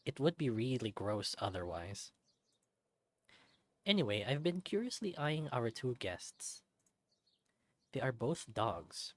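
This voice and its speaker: A let's play narrator reading a visual novel aloud in an expressive storybook way, with light personal asides. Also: mid-range voice.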